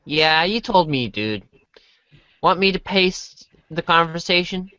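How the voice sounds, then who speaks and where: Casting: woman for a good-natured, bemused teenage boy in amateur theater; animated intonation, slow casual delivery. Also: on the low side, no echo, exaggerated voice.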